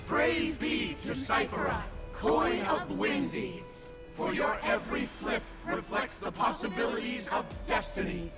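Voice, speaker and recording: A woman's voice: on the high side, very animated, measured pace, long pauses. A woman playing a solemn, reverent celebrant in a game, declaiming formal praises. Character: reverent female celebrant chanting solemn praises of divine heroes. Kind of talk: ceremonial scripted game acting. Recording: loud music under the voice.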